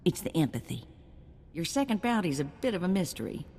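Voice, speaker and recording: Deep-voiced folksy bounty fixer. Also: female voice, sing-song, fairly quick, dark tone, long reverb tail. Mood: chatty, wry. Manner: colloquial, wry. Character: folksy, seasoned female bounty hunt fixer. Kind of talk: video game voice acting.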